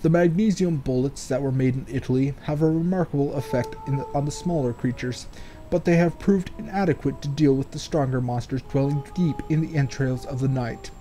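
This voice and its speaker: A man's voice: mid-range voice, fairly quick, dark tone, soft background music. A man hosting a let's play, with rambling, casual commentary and stretches of game text read aloud. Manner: rambling, speculative, sweary.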